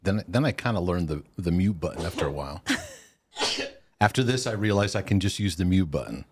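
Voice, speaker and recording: A man's voice: sits low, animated, brisk, background noise. A middle-aged man hosting a live question-and-answer stream, congested and sneezing, talking in a rambling, self-interrupting way with laughs. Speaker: host.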